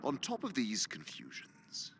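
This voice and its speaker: A sly senior male demon in a radio drama. His voice is creaky, sharp and sweeps widely in pitch. He lectures with pompous, gloating relish and can sink into a whisper. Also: huge pitch swings, a little slow, slight background noise, exaggerated voice. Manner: pompous, dramatic, savoring words, dropping into whispers. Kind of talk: theatrical radio drama monologue.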